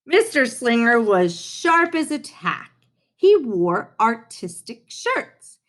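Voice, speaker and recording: A woman reading a children's storybook aloud in an expressive way, with dramatic pauses and playful touches. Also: fairly high pitch. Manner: animated, unhurried, dramatic pauses, playful asides.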